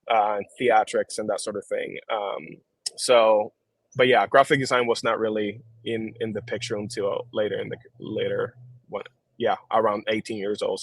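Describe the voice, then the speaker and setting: A man in his thirties, a company founder, answering questions in a casual video call interview, halting and restarting often and switching between English and Spanish. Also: lowish voice, rough recording. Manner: relaxed, halting, many fillers and restarts. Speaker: founder and creative director, guest.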